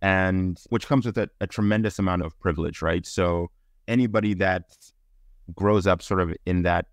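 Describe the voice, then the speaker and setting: Middle-aged guy w a really low voice talking through his answers on a podcast, very dry sound. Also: fairly even intonation, normal speed, no room sound. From Zambia, later Belgium. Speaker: podcast guest, entrepreneur. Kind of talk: conversational interview answers. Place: indoors on a video call.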